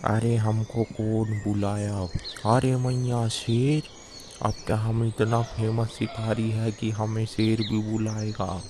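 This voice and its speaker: A rustic village hunter acted by a man, low-voiced and flat in tone. He is blunt and distrustful, a cartoon fable character heard over clear background noise. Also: slow. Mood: puzzled. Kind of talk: Hindi animated moral story dialogue.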